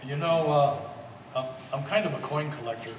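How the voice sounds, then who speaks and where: A man playing an earnest, plain-spoken man in a stage play, speaking haltingly and repeating words. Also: on the high side, close to an everyday voice. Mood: gentle, hesitant. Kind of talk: one-act stage play performance. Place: art gallery, live staged reading. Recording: echoey gallery hall, distant mic, hiss.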